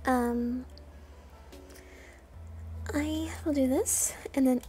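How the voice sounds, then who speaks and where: A woman's voice: sits high, fairly even intonation, extremely slow, dark tone, faint music behind. A woman streamer giving casual, playful and self-deprecating commentary while she plays a video game.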